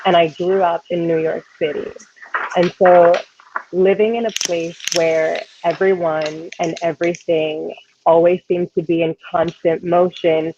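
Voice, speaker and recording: A woman's voice: on the low side, darkish tone, slight background noise. Chatty woman on muffled video call. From Dominican Republic. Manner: chatty, informal, light nervous laughs. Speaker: guest speaker. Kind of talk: casual talk to a congregation.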